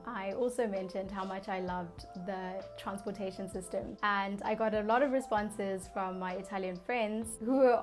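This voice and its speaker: Young woman vlogger from Zimbabwe, relaxed chatty delivery, middle pitch. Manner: chatty, conversational, frequent fillers like "you know" and "like". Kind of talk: casual talking-to-camera vlog.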